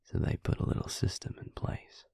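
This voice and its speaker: A man playing a devious, smug male vampire in an intimate audio roleplay, taunting his victim slowly in a breathy, gravelly whisper. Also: mellow, no room sound, natural voice. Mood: smug, explaining. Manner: slow, taunting, self-satisfied.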